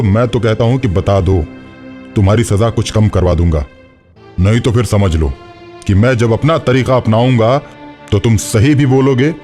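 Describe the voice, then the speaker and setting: A man's voice: fairly low pitch, dark tone, natural voice. A man playing an authoritative, menacing, suspicious police inspector in a cartoon horror story. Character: stern, intimidating male village police inspector, threatening and suspicious. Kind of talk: Hindi animated horror story acting.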